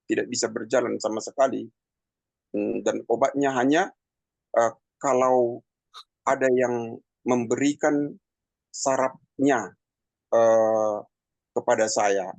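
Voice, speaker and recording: Man, 50s, personal testimony, halting with fillers, gravelly voice, dry sound. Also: medium pitch, darkish tone, dry recording. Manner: halting, many pauses and fillers. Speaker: testimony speaker.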